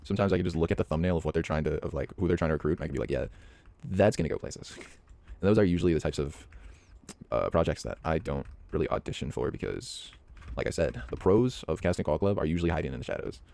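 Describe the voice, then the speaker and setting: A man's voice: a bass voice, expressive, quick pace, vocal fry, mellow. A young man chatting casually while playing a game, rambling and hesitant with lots of fillers and restarts. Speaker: hobby voice actor and gaming vlogger. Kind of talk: casual rambling commentary over gameplay.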